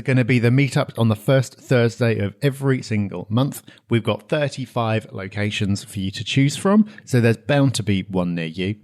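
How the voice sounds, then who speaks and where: A British man co-hosting a podcast, briskly wrapping up the show and plugging events. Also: medium pitch, darkish tone. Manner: brisk, wraps up and plugs events. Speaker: podcast co-host. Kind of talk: casual podcast chat.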